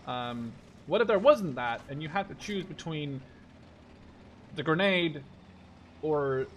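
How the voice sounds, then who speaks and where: A man's voice: fairly high pitch, animated, slow, a little hiss or hum. A man in his twenties from the Netherlands giving casual, rambling let's-play commentary, thinking aloud and swearing offhandedly. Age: 20s. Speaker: gaming YouTuber.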